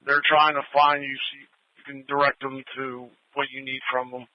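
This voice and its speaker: A male fire dispatcher relaying calls in flat, clipped phrasing over scanner radio. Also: mid-range voice, normal speed, dry recording. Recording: muffled scanner radio audio.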